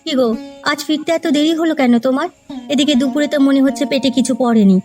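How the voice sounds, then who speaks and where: A woman's voice: high-pitched, quick pace, a little mellow, faint music behind, natural voice. A woman voicing a hardworking village wife and mother in a cartoon story, no-nonsense and complaining. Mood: brisk.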